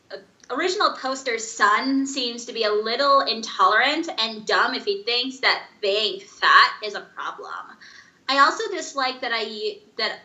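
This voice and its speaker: Female reader, slightly high and very tense-sharp, reading a comment aloud, echoey lo-fi sound. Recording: dull, echoey lo-fi room sound.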